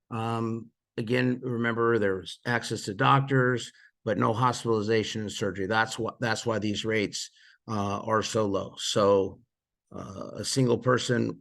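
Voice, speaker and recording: A man casually walking through insurance plan details, a little hoarse and losing his voice, with frequent fillers and restarts. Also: on the low side, fairly bright tone. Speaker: presenter explaining insurance plans. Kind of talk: casual explanatory walkthrough.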